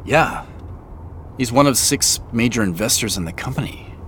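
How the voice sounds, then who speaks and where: An actor plays an ordinary American man being careful with what he says. His mid-pitched voice has lively swings, yet he sounds hesitant and puzzled. Faint noise sits behind this casual audio-drama dialogue. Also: moderate pace, close to an everyday voice. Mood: puzzled, guarded. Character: guarded, puzzled ordinary man questioned by police.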